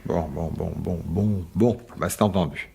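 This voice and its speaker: Husky, dismissive husband. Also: male voice, deep voice, moderate pace, mellow, heavily acted. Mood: grudging, placating. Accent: French. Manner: grudging, offhand, repeats words. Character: weary, dismissive husband humouring his anxious wife. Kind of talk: radio mystery drama acting.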